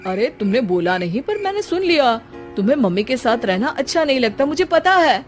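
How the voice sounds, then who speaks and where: A woman's voice: high-pitched, very animated, a little fast. Woman playing an exasperated young cartoon son, sarcastic, scolding, music behind. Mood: sly, matter-of-fact.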